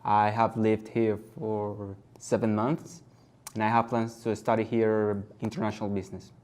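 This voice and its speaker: A man's voice: lowish voice, monotone, darkish tone. Young man. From Venezuela. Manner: halting, searching for words. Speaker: test candidate. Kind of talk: exam conversation.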